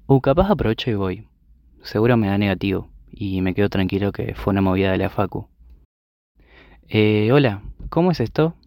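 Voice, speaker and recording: A man's voice: on the low side, expressive, normal speed, vocal fry, darkish tone, dry recording, clean studio sound. A young man with an Argentine accent playing an anxious, tired university student in a student radio drama. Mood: weary, uneasy. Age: young adult. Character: anxious, weary nineteen-year-old male university student.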